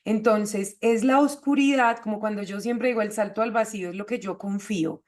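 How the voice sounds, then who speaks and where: A woman's voice: mid-range voice, moderate pace, darkish tone. A woman hosting an explanatory conversation, teaching point by point and checking with short questions. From Colombia. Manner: didactic, checks with rhetorical questions. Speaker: host of an astrology show.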